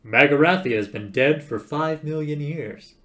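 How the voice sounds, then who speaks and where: A man voicing a brash, cocky spaceship adventurer who talks loudly, emphatically and impatiently. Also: fairly low pitch, very animated, brisk. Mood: dismissive.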